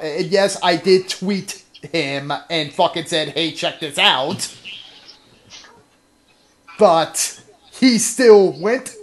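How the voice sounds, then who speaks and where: A man hosting a casual, profane podcast chat, speaking emphatically and often repeating himself. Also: high voice. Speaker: show host.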